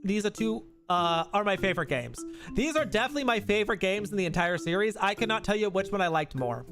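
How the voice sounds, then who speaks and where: A man's voice: high-pitched, lively intonation, quick pace. A man hosting a livestream, giving casual, chatty gaming commentary that rambles and corrects itself, speaking into a close microphone. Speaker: livestream host. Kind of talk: casual gaming commentary, reviewing and ranking games. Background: background music.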